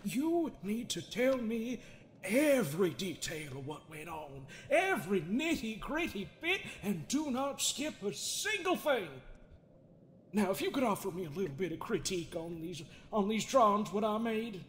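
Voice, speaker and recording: A man's voice: sits high, very animated, unhurried. A man voicing an unhinged, sardonic therapist with folksy menace, theatrical and mocking, in an improvised comedy dub of a horror game. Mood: mocking, irritated.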